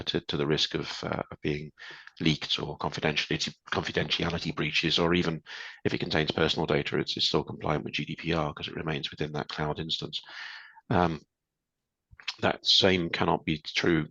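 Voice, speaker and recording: A man with a very low voice explains things patiently, often restarting his sentences. The sound is dull and lo-fi, as on a video call, and the room is dry. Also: creaky, pushed and bright, breathy edge, no echo. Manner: measured, explanatory, with frequent restarts. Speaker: guest presenter. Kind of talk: webinar Q&A answers.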